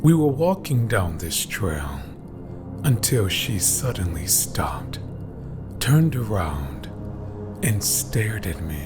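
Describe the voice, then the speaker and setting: A man reading scripted horror stories in a dramatic, deliberate way, with phrases that often trail into a hush. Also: lowish voice, big pitch swings. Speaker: horror story narrator. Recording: background music behind voice. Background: music.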